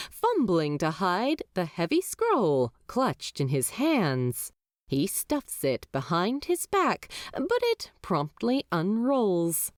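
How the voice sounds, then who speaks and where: A woman's voice: fairly low pitch, no room sound, studio quality. A woman narrating a story expressively from a script, as an audiobook-style narrator.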